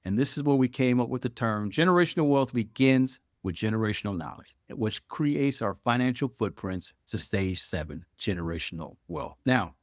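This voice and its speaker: A man hosting a podcast, introducing and interviewing in a measured, emphatic presenter's delivery. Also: mid-range voice, no room sound, clean studio sound. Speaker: podcast host.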